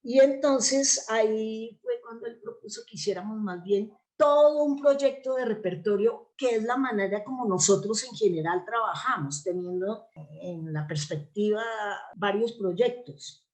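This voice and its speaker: A woman's voice: a little high, measured pace, hardly any pauses, darkish tone. Colombian woman explaining in an interview. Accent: Colombian Spanish. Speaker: interview guest, theatre actress and playwright. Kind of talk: conversational interview answers.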